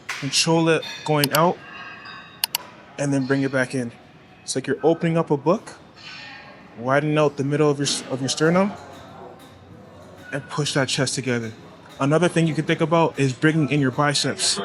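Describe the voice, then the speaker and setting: A man's voice: fairly high pitch, fairly bright tone. A young man from Toronto with a Toronto accent, chatting casually in a gym vlog and coaching between sets, recorded on a clamped camera mic. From Toronto, Canada. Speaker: fitness vlogger. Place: indoor gym. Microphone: clamped camera mic, no tripod.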